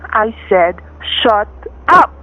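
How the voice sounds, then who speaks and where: An actor playing a bossy, jumpy fugitive gangster in an old radio crime drama, talking in curt, tough street slang. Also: male voice, sits high, very animated, drawn-out words, pushed and bright, exaggerated voice. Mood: menacing, bossy. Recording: narrow, tinny vintage radio recording.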